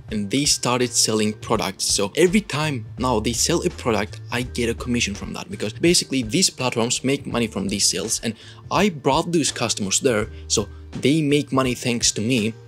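Male creator, Turkish accent, casual explainer with frequent fillers; mid-pitched voice, faint music under. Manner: loose and chatty, frequent "like" fillers. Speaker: content creator. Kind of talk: casual talking-head explainer.

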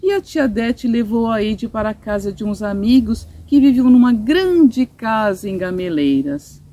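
Animated, high-pitched Brazilian woman storyteller. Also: dull audio. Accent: Brazilian Portuguese. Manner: simple, explanatory storytelling for children. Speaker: storyteller reading a children's audiobook. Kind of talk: expressive children's story reading. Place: outdoors in a sunny garden patio, seated.

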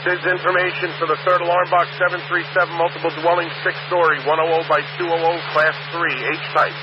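A man's voice: on the high side, flat delivery, quick pace. Man, New York fire dispatcher, clipped methodical radio calls, muffled radio with static.

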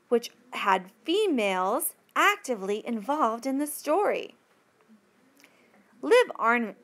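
A woman reading a textbook passage aloud as a teacher would, with careful, deliberate phrasing. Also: on the high side, a bit bright.

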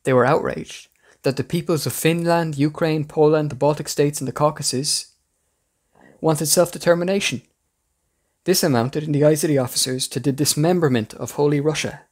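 A man's voice: a little high, moderate pace. A man reading a scripted history narration as the host of a podcast.

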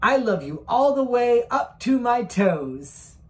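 A reader voicing a small, eager young hare in a bedtime storybook, lifting the pitch for the little one. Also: big pitch swings, takes it slowly, roomy sound. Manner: bright, eager, raised pitch. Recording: voice somewhat distant from the mic.

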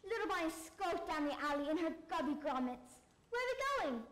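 A woman's voice: very high-pitched, very animated, brisk, fairly bright tone, heavily acted. A woman playing a perky talking children's book in a comic sketch, theatrical and full of quaint exclamations. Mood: dramatic storytelling. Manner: theatrical, exclaiming British slang. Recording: echoey room.

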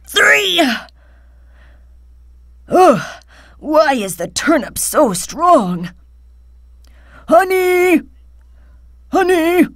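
Woman as patient old farmer grandfather for a storybook: extremely slow, cheerful, high-voiced. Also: dry recording, studio quality, exaggerated voice. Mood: straining. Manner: drawn-out, expressive storybook delivery.

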